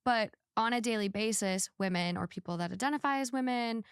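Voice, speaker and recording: A woman's voice: a little high, fairly quick, clear, resonant tone, dry recording. Outspoken woman podcaster, close dry sound. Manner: emphatic, outspoken, swears. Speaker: podcast host. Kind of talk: casual opinionated podcast chat.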